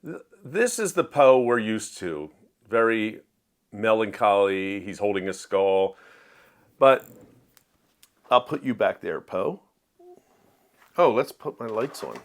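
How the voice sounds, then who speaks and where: A man's voice: fairly low pitch, lively intonation, slow pace, pauses often. Plainspoken man reviewing books. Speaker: host of a book review show. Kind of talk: casual scripted-outline book review to camera.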